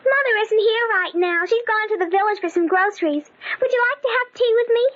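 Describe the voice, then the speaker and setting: Very high-voiced innocent little girl. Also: brisk. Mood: chirpy, innocent. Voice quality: small childlike voice. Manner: guileless, chatty. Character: innocent little girl, housekeeper's daughter, guilelessly chatty. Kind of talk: old-time radio drama acting. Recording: dull lo-fi radio, loud noise.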